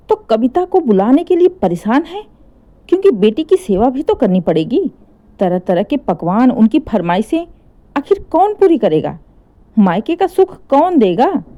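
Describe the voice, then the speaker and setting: A woman's voice: fairly high pitch, dark tone. A woman narrating a scripted emotional family story as a dramatic storyteller, in a slightly dull recording.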